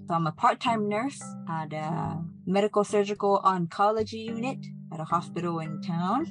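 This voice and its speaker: A woman's voice: on the low side, rough recording. A woman in her forties from Hawaii with a slight Japanese accent, chatting easily and laughing as she introduces herself on a podcast. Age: 40s. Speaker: nurse and part-time minister, co-host. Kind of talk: casual podcast chat.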